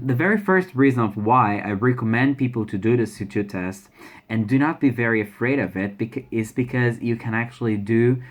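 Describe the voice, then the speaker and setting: Young adult man, Brazilian accent, slightly low pitch; casual halting vlog delivery with frequent restarts. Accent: Brazilian Portuguese. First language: Portuguese. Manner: halting, many restarts and repeated words. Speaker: language learner sharing exam experience. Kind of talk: casual vlog talk to camera.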